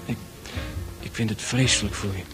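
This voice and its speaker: A man's voice: on the low side, fairly even intonation, music in the background, close to an everyday voice. Shy, hesitant young man played by an actor. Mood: shocked, sympathetic. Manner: hesitant, halting, soft-hearted. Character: shy, concerned young man, awkward and smitten. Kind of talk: Dutch radio play acting.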